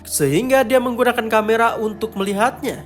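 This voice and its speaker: A male narrator with a high-pitched voice tells a scripted horror story. Music plays clearly behind the narration. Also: fairly quick. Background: clear background music.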